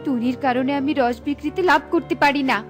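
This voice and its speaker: A shrill, whiny cartoon bird, voiced by a woman, who is greedy, jealous and quick to run away. Her very high voice wheedles and complains. Bright children's music plays under her. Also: fairly even intonation, close to an everyday voice. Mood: scheming. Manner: whiny, scheming. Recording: with light background music.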